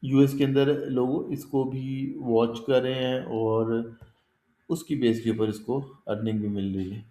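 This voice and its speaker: A man's voice: medium pitch, little pitch movement, a little slow, dark tone. A man teaching a live online class, explaining step by step in a conversational way and often checking that his students follow. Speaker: instructor. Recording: echoey room.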